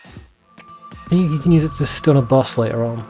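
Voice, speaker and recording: Male let's player, relaxed dark tone, rambling casual commentary, light music in the background. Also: mid-range voice, moderate pace. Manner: rambling, conversational, swears casually. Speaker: let's play host.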